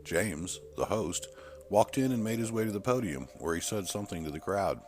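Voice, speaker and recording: A man's voice: low voice, animated, normal speed, a little mellow, soft background music. A man reading a scripted horror short story as its narrator.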